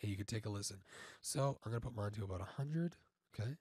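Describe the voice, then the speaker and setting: Low, husky man giving a tutorial. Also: lively intonation, warm tone, no echo, clean studio sound. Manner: halting, often checking in with okay. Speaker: tutorial instructor and voice actor. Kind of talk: informal software tutorial, explaining step by step. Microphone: close studio mic through audio interface.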